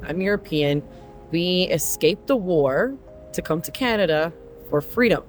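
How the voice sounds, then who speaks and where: A man from Croatia who drives trucks, answering interview questions earnestly with frequent pauses. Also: a little high, lively intonation, soft background music.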